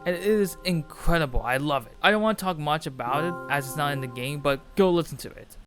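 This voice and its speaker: A man's voice: fairly high pitch, quick pace. A man reading a scripted video essay about a game in an earnest, enthusiastic way. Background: music playing under the voice.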